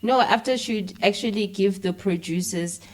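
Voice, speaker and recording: A woman's voice: medium pitch, normal speed, low-quality recording. A South African woman talking casually and informally as an interview guest, mixing languages as she goes. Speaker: interview guest, filmmaker and content creator. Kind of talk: casual conversational interview, code-switching.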